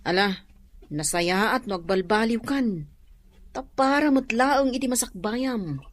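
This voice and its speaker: Frank, caring Ilocano friend, highish voice. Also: female voice, expressive, measured pace, dry recording. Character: frank, caring young female friend giving advice. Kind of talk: radio drama acting.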